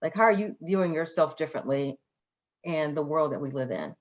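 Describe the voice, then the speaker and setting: Lower-pitched woman hosting an informal interview. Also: animated, fairly quick, muffled recording. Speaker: radio show host interviewing guests. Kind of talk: conversational interviewing.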